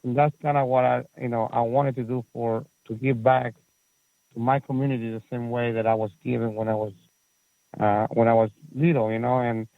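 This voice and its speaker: Chilean man on a tinny line, gravelly. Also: medium pitch, little pitch movement, moderate pace, dry recording. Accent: Chilean Spanish. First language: Spanish. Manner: rambling, many fillers and restarts. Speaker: guest, soccer facility owner and coach. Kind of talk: casual interview talk.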